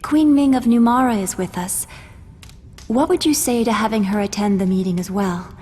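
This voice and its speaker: A serene immortal woman acted by a woman, her voice husky and breathy in a long, ringing hall. She speaks gently and formally, like a wise counselor in a fantasy game drama. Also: medium pitch, normal speed, lightly acted. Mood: gentle, sincere. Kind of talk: dramatic game cutscene acting.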